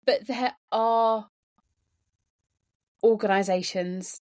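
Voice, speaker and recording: A woman's voice: medium pitch, steady tone, drawn-out words, darkish tone. A woman guest on a podcast, speaking about workplaces in an earnest, emphatic way, repeating words and using "you know" often. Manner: earnest and emphatic, with many repetitions and fillers. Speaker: podcast guest, neurodiversity trainer. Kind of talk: conversational podcast interview.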